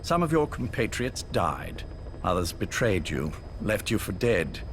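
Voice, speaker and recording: An actor playing an older male spymaster who speaks in a calm, courteous, probing way. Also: lowish voice, measured pace, a little hiss or hum. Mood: measured.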